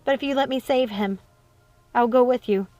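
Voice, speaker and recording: A woman's voice: a little high, normal speed, darkish tone, no echo, pitched above the performer's own voice, lightly acted. A woman voicing a frightened but defiant young princess in a storybook reading, pleading earnestly, with music behind.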